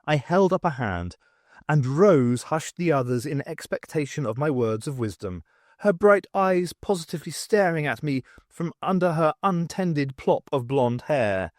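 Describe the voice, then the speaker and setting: A man reading a first-person story aloud as an expressive audiobook narrator, with wry, dramatic, emphatic phrasing. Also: on the high side, unhurried, no echo, clean studio sound. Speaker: audiobook reader.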